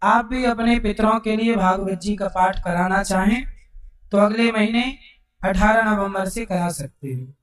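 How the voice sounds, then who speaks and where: Male preacher, hoarse, slow and measured, question-and-answer with the crowd; distant mic, echoey hall. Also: high voice. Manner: unhurried, asks the crowd questions and answers them. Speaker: Bhagavat katha preacher. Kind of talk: devotional sermon to a live gathering. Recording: roomy sound from a distant mic.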